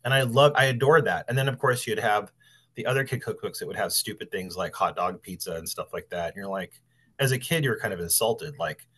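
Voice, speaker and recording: Low-voiced male podcast host. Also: brisk, fairly bright tone, husky. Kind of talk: podcast talk, introducing and interviewing an author.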